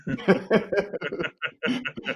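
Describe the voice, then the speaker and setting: A man from the United States hosting a casual podcast interview, upbeat and chatty, quick to laugh. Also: a little high, expressive, fairly bright tone, slightly rough, no echo. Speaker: podcast host.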